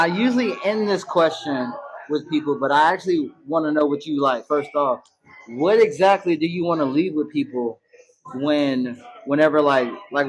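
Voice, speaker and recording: A man hosting a relaxed interview with a slightly raised voice. He asks long, rambling questions in easy slang and circles back as he goes. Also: fairly high pitch, poor audio. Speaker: host. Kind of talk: casual interview.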